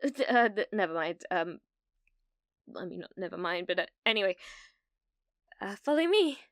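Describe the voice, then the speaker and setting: A woman plays an obsessive young British gamer in an ASMR roleplay. Her mid-pitched voice is smooth and crisp, recorded very dry. She stammers and hesitates with a nervous chirpiness, sounding needy and delusional while staying blithely casual about dark things. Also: big pitch swings, normal speed, no echo. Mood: flustered, eager.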